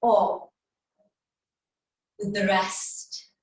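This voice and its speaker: A woman playing a vengeful, mocking warrior queen in a classical Shakespearean stage monologue, with theatrical, taunting, drawn-out delivery. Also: mid-range voice, little pitch movement. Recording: echoey room, distant microphone.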